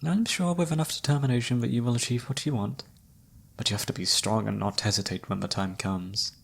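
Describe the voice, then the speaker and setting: Man acting a low, soft, mysterious fortune-teller. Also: breathy edge. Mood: soft, cryptic. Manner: soft-spoken, formal, courtly. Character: mysterious flirty palm reader, a wandering male fortune-teller. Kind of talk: ASMR roleplay.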